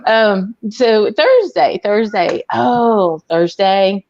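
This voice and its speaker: A woman's voice: medium pitch, expressive, extremely slow, a little mellow, dull audio, a little hiss or hum. A woman from Arkansas with a mixed Southern accent, a podcast guest telling stories in casual chat, with lots of ums and laughs. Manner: chatty storyteller with frequent ums and laughs.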